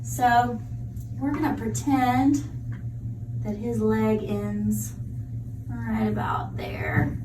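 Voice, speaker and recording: A woman's voice: on the high side, big pitch swings, drawn-out words, long pauses, background noise. A woman prosthetist explaining a clinical procedure step by step as she demonstrates it. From United States. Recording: echoey room, mic from far off.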